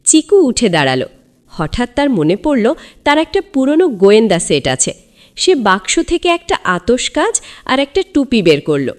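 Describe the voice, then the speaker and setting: A woman's voice: a little high, sing-song, normal speed. A woman narrating a scripted children's story in a dramatic, suspenseful storyteller's manner.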